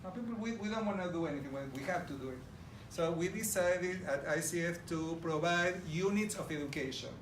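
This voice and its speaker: A man's voice: fairly high pitch, a little fast, few pauses. Argentine man presenting in an echoey room. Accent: Argentine Spanish. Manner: warm, enthusiastic, addresses the audience directly. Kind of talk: conference presentation to a live audience. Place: large room with an audience. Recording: roomy, distant audio with background noise.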